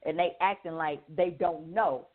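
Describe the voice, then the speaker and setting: Blunt low-voiced woman, tinny line. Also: moderate pace. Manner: blunt, profane, insistent. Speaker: radio show co-host. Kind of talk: casual opinionated talk. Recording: thin phone-like audio.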